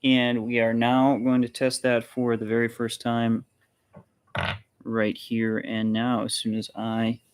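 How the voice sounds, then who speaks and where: A man casually hosting a hands-on demonstration, talking haltingly and muttering to himself as he works. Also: medium pitch, completely flat delivery, clear, resonant tone. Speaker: vlog host, maker showing designs.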